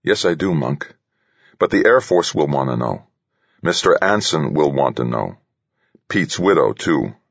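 A man's voice: rumbling low, sing-song, a little slow, gravelly, breathy edge, no echo, dull audio, full character voice. A man voicing a smaller, brainy man in an audiobook, earnest and placating yet stubbornly insistent. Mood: reluctant, firm. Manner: earnest, soft-spoken but insistent. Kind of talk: audiobook character voice.

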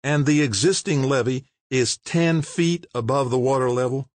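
A man voicing a sharp, dismissive woman mayor who snaps curtly. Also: medium pitch, moderate pace, no echo, pitched above the performer's own voice, close to an everyday voice. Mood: cold, dismissive. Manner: snappish, curt.

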